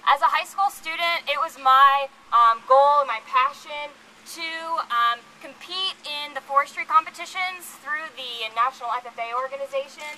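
Very bright, high young woman on megaphone. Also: normal speed, few pauses, poor audio. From Virginia, United States. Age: young adult. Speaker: student rally speaker. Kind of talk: informal personal speech to a rally. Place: outdoors on a campus lawn. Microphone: handheld megaphone. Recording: megaphone outdoors, faint ambient noise.

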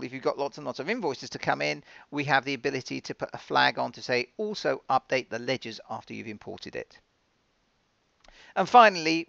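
A man presenting software in a conversational, step-by-step, informal explanatory style, recorded as a dull, lo-fi voice-over. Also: mid-range voice, creaky, dry recording. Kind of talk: conversational software demo narration.

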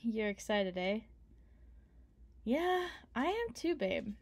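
A woman's voice: a little high, big pitch swings, slow, a little mellow. A young woman doing an ASMR roleplay as a partner, playful, affectionate and teasing. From Canada. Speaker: ASMR performer.

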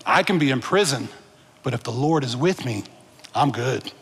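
Bright-voiced animated preacher man. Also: mid-range voice, moderate pace, husky. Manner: animated, gesturing preacher calling out to the congregation. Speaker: pastor. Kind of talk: sermon. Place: large church stage with blue lights. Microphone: headset mic. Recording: long hall reverb of a church auditorium.

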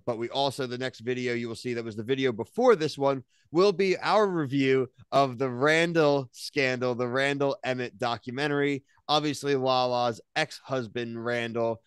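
A man's voice: mid-range voice, fairly bright tone, clear, resonant tone, dry recording. An American man in his thirties chatting casually as a podcast co-host, with slangy, opinionated recaps. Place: small home room, on a video call.

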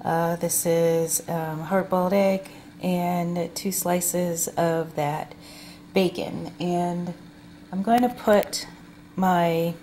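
Slow, chatty woman showing groceries. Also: on the low side, steady tone, dark tone, slight background noise. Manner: chatty, unhurried, many ums. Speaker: vlogger. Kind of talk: casual food haul narration. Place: home kitchen table.

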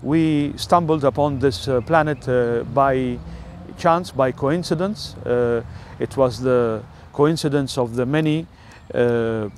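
An older man with an Italian accent, an Italian native speaker, talking through a rambling personal monologue with many filler sounds and pauses. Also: mid-range voice, slight background noise.

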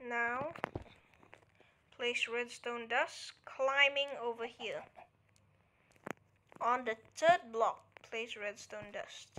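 A woman talks a viewer through a building tutorial at an unhurried pace. Her voice sits on the high side, and she counts out each item as she places it. A faint hiss of noise runs under the recording. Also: sharp tone. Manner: counts steps aloud, checks in with "alright?". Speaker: tutorial creator. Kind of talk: casual game tutorial walkthrough.

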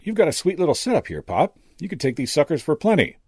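A man's voice: medium pitch, big pitch swings, moderate pace, darkish tone, husky, no room sound, studio quality, voice pushed up. A man voicing a slick, cynical younger stage magician with a cocky, slangy drawl, in an audiobook story. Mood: sly. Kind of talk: character dialogue in an audiobook story.

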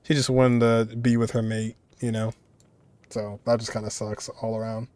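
Young guy reacting to a game, thinking out loud, chill casual talk, dry recording. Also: lowish voice, level tone, moderate pace, clean tone, dry recording. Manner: informal, musing, thinking aloud. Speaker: reaction video host.

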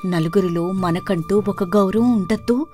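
A woman plays a nagging village wife in a storybook cartoon, discontented and full of complaining questions. Her pitch is middling, and music runs behind her. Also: a little slow, darkish tone, close to an everyday voice. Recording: music under the voice.

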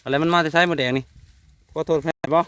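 A man's voice: fairly high pitch, fairly even intonation, quick pace, noisy background. A man with an Isan Thai accent chatting casually to viewers in a vlog, outdoors among rural trees. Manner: chatty, keeps calling out to viewers. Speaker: vlogger.

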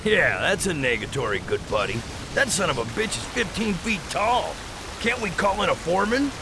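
Gravelly, griping henchman. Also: high voice, sing-song, normal speed, background noise, heavily acted. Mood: exasperated. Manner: slangy, complaining. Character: griping, nervous working-stiff male henchman.

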